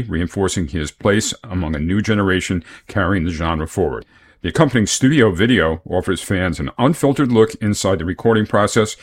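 A man hosting a radio music show, reading album notes and reviews from a script. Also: sits low.

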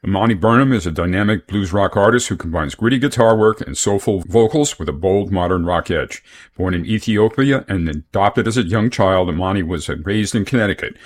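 A man's voice: low-pitched, a little fast. A man hosting a radio music show, reading album notes and reviews from a script.

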